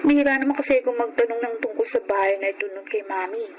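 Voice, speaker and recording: A woman's voice: fairly high pitch, fast talker, highs cut off, a crowd in the background, natural voice. An actress playing a friendly young niece, teasing and reassuring with her friends, in a radio drama. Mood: puzzled.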